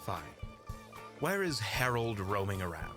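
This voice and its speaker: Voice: sits low, very animated, measured pace, sharp tone, faint music behind, full character voice. An actor playing a formal, demanding adventurer in a fantasy audio roleplay, with clipped, no-nonsense delivery. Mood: brisk, demanding.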